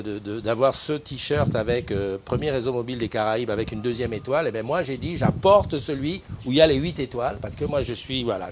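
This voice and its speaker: Chatty male live-show host, noisy feed. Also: medium pitch. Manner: chatty, greets viewers by name, laughs often. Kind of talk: casual live chat.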